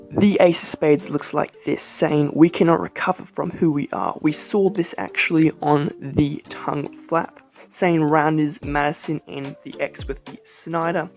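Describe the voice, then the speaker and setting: Rambling man reviewing playing cards. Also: a little high. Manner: relaxed, rambling, many fillers. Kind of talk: casual vlog product review.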